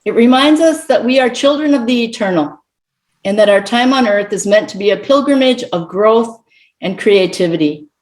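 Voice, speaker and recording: A middle-aged woman giving a reflective sermon to a congregation as a guest speaker, with measured, contemplative phrasing. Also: on the high side, expressive, a little mellow. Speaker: guest speaker at a church service.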